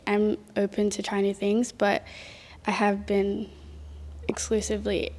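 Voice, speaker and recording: A young woman speaking hesitantly in a panel discussion, soft and unsure. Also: medium pitch, completely flat delivery, a little slow, slight background noise. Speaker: panel guest. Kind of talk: casual discussion. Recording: long reverb.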